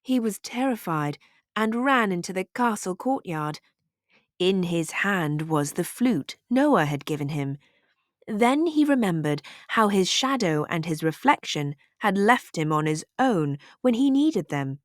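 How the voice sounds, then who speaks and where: Female read-aloud narrator for kids' storybook, animated intonation, deliberate slow pacing. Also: fairly low pitch, dry recording, studio quality.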